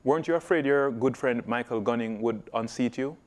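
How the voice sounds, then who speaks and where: Guy hosting a sports show, friendly questions, mid pitch. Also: a little fast. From Jamaica. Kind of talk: broadcast sports interview.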